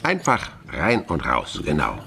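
An actor playing a wily, commanding older police commissioner near retirement in a radio crime play, with a gravelly voice. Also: male voice, very deep voice, wildly swooping pitch, a little slow, pushed and bright, full character voice. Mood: sly, assured.

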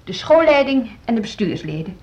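An actress playing a weary, harried music school director in conversational, naturalistic radio drama dialogue. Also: female voice, fairly high pitch, very animated, moderate pace, a little mellow, slightly rough. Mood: exasperated. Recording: slightly dull radio drama recording.

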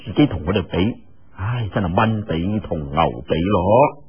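A male storyteller with a Cantonese accent narrates dramatically and colloquially. His pitch moves widely while the pace stays unhurried. The recording is dull, like lo-fi radio. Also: breathy edge, faint music behind. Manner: colloquial, expressive, with trailing sentence particles.

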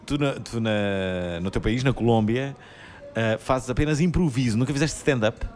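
A man's voice: mid-range voice, normal speed, a little mellow, faint music behind. A man with a European Portuguese accent hosting a podcast, rambling and stammering in a joking way, sharing one microphone. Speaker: podcast host. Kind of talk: casual chat.

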